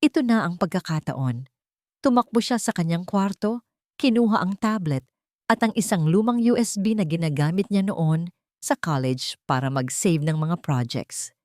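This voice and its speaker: A woman's voice: fairly low pitch, animated, moderate pace, darkish tone, no room sound, clean studio sound. A woman narrating a scripted dramatic story as its storyteller.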